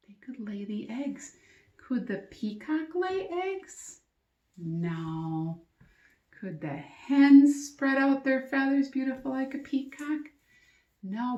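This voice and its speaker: A woman teacher reading a storybook aloud to young children, expressively, pausing to ask questions and explain things simply. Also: mid-range voice, a little mellow, dull audio. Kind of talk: interactive storybook read-aloud and lesson. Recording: echoey, roomy sound.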